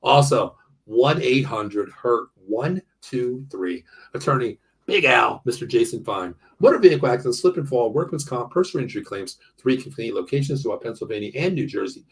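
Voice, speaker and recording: A man's voice: mid-range voice, animated, a little fast, husky. An American man in his early fifties hosting a casual sports show, talking bluntly and often repeating himself. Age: early 50s. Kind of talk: casual sports banter with ad reads.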